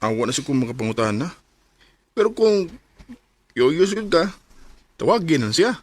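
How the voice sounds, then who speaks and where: Frank young male best friend, fairly high, animated pitch, Cebuano accent, radio drama. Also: moderate pace, no room sound. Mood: concerned, informative. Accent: Cebuano Filipino.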